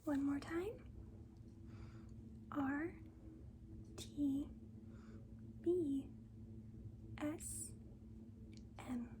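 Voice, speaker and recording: A woman speaks in a soft, breathy whisper, very slowly and deliberately. Her voice sits high, and she plays a gentle, reassuring nurse in an ASMR style. There is clear noise in the recording. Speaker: ASMR performer playing a school nurse. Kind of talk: soft-spoken ASMR roleplay.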